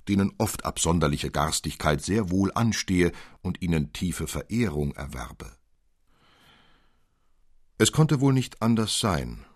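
Male audiobook narrator, very low voice, slightly rough, dry close sound, expressive, deliberate. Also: no echo. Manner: deliberate, expressive, old-fashioned storytelling. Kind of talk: dramatic literary story narration.

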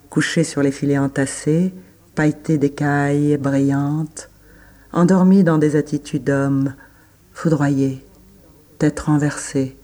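Slow, soft-toned man reading literary prose. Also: fairly high pitch. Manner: measured, pausing between short phrases. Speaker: reader. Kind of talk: slow literary recitation in a radio play.

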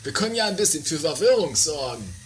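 A woman playing a sarcastic, excitable accomplice in a comedy radio play, sardonic and impatient. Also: deep voice, fast talker. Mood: excited. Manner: sardonic, repeats words impatiently. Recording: very noisy lo-fi audio with clicks.

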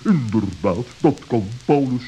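A man voicing a pompous, suspicious, scholarly owl with a wordy, deliberate manner in a children's radio play. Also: medium pitch, animated, mellow, lightly acted. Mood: regretful, pondering. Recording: hissy, crackly old audio recording.

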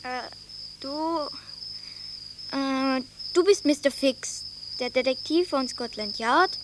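A high child's voice, earnest and eager in make-believe, directing the game. It is radio drama with faint insect noise behind. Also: slow pace. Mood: brisk, commanding. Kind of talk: German radio play acting.

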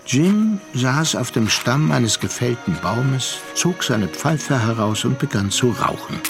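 A man narrating a literary radio drama with measured, deliberate phrasing and pauses. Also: mid-range voice, expressive, gravelly, music in the background.

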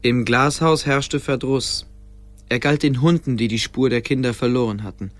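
A man's voice: medium pitch, fairly even intonation, normal speed, no echo, soft background music. A man narrating a scripted fantasy radio play as its storyteller. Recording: clear background ambience noise.

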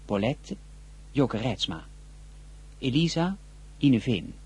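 Radio announcer, man, formal scripted credit read, markedly breathy-rough voice, mid register. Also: mid-range voice, very animated, takes it slowly, darkish tone.

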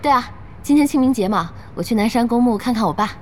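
A woman's voice: a little high, animated, quick pace, a little mellow, slight background noise, natural voice. A woman playing a spirited, forthright young woman in a radio drama, direct and insistent. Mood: curious, friendly. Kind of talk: Chinese radio drama acting.

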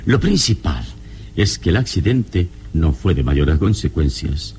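An actor playing a calm, wise adventure hero in a vintage radio drama, measured and reassuring in his speech. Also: low-pitched, a little hiss or hum. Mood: calm, reasoning. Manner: measured, thoughtful, composed.

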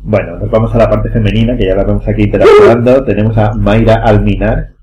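A man's voice: lowish voice, fairly even intonation, fast, mellow. A man with an accent from Spain, hosting a casual group podcast conversation. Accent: Spanish, from Spain. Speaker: podcast host and director.

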